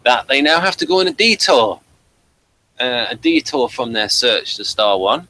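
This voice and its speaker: A man hosting a review show, chatting casually in a hesitant, halting way and often repeating words. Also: mid-range voice, very animated, bright, forceful tone, rough recording. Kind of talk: casual audio drama review chat.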